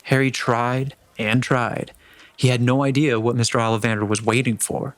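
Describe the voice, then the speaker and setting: Man narrating a story, mid-pitched. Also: flat delivery, normal speed, no room sound.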